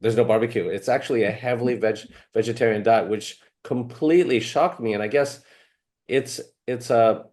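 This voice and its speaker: A man's voice: mid-range voice, moderate pace, darkish tone. A man hosting an interview, asking long, rambling questions with many fillers. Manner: rambling, loosely built questions. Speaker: host. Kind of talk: casual interview talk.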